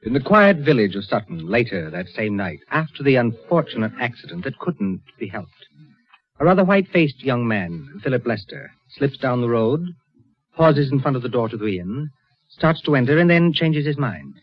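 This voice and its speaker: A man narrating a radio mystery as a measured storyteller, with deliberate, suspenseful phrasing. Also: medium pitch, sing-song. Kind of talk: scripted present-tense radio narration. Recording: dull lo-fi radio drama recording.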